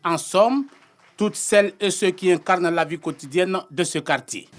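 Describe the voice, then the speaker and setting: A man's voice: high voice, normal speed. A man narrating a scripted television news report as a reporter.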